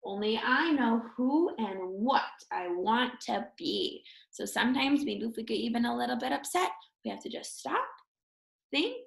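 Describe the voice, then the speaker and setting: A woman reading a picture book aloud to children like a teacher, expressive and emphatic, speaking directly to her young listeners. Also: fairly high pitch, normal speed, gravelly. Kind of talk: children's read-aloud with encouraging asides. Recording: roomy, echoing indoor sound.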